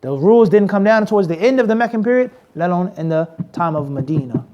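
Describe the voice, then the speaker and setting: High-voiced man preaching emphatically. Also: expressive, fast, lo-fi sound. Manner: emphatic, asks rhetorical questions to the crowd. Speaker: Islamic teacher. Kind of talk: lecture with Q&A. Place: mosque.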